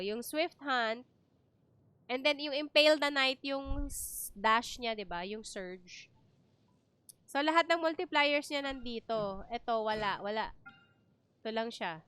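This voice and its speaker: High, bright Filipino woman streaming games. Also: a little hiss or hum. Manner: chatty, explanatory, switches between two languages. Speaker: game streamer. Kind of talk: casual livestream game commentary.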